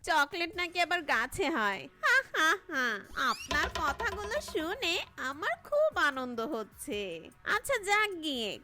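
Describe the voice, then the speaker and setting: A woman voicing a mischievous, sceptical crow in a children's cartoon, scoffing with exaggerated, drawn-out delivery. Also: very high voice, very animated, heavy background noise, heavily acted. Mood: mocking. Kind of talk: Bengali children's cartoon voice acting.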